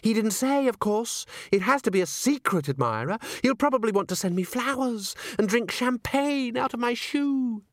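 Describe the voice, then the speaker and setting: A man's voice: high voice, wildly swooping pitch, unhurried, no echo, studio quality, pitched above the performer's own voice, exaggerated voice. A man voicing a gushing, empty-headed young woman singer in a storybook, breathless and cheerful in an exclaiming manner. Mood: gushing excitement.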